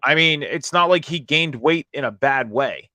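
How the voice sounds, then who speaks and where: Hoarse man hosting a wrestling show. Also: a little high, expressive, moderate pace, sharp tone, dry recording. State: hoarse after a night of screaming at a concert. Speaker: host of a wrestling review show. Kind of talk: casual recap and commentary.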